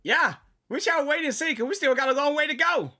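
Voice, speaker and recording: A gaming video reactor giving excited, casual commentary, full of exclamations and echoing phrases just heard. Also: sits high, a little fast, soft background music.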